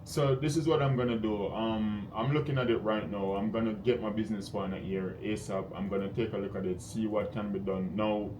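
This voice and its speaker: A man's voice: lowish voice, steady tone, fairly quick, few pauses. A man on a sales call as an investor, talking in a direct, probing, conversational way and explaining his thinking. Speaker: real estate investor cold calling. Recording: clear background noise on call recording.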